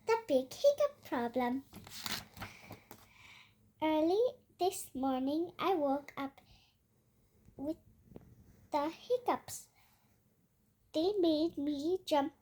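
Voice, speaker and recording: A young girl reading a children's picture book aloud as a storytime host, halting word by word. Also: high-pitched, expressive, warm tone.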